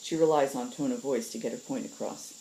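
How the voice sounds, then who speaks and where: A woman hosting a story reading, moving between casual talk and expressive narration, with insects behind her. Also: very deep voice, fairly quick, slight background noise, low-quality recording. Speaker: host reading stories aloud.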